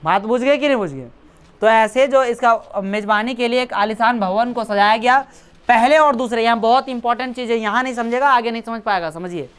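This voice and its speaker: A woman's voice: fairly high pitch, fairly quick, clear, resonant tone. Hindi woman teacher. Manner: keeps checking understanding, repeats dates, teasing asides. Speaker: coaching class teacher. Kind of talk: classroom lecture with questions to students. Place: classroom.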